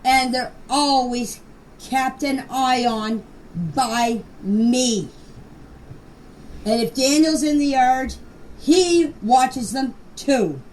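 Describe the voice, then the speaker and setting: Distant amateur voice clip, faint noise, older woman, high pitch, blunt, emphatic, unscripted. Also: darkish tone. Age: 60s or older. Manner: emphatic, blunt, deliberate plain speaking. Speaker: grandmother giving her personal account. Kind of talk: unscripted personal voice message.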